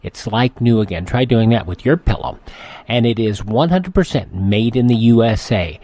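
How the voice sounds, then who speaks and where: A man's voice: medium pitch, expressive, normal speed, mellow. An American man reading scripted announcements and ads as a radio host.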